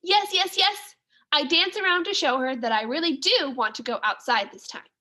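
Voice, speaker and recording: A woman's voice: high-pitched, lively intonation, fairly quick, pushed and bright. A woman teacher reading a children's story aloud, expressively and with careful enunciation.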